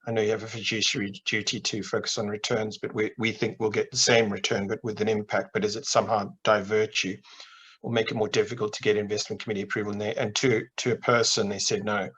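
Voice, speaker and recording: A middle-aged man from Zimbabwe on a video call, explaining his work in a measured way with frequent restarts. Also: on the low side, little pitch movement, fairly bright tone. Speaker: investment manager guest. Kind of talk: interview answers on a video call. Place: home office with plain wall. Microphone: webcam on video call.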